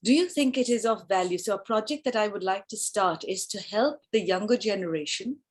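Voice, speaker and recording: A woman on a video call earnestly pitching a project, her requests a little rambling. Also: fairly quick. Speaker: project organizer.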